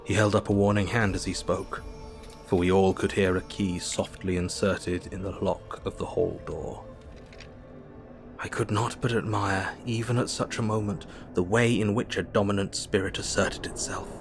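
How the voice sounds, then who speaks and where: An actor playing a sober gentleman who recounts a horror story in measured, literary first-person narration. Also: sits low, steady tone. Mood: grave, suspenseful. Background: clear background music.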